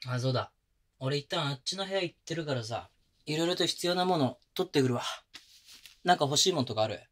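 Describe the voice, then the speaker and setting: A man playing a gentle, doting young boyfriend in an intimate ASMR audio drama, speaking casually with tender, coaxing chiding. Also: on the high side, expressive, quick pace. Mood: caring. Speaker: voice actor. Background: music.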